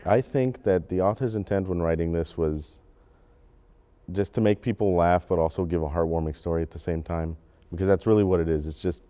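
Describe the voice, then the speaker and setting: Deep, mellow young man, student actor. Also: fairly quick. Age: teens to young adult. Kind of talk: casual interview reflection.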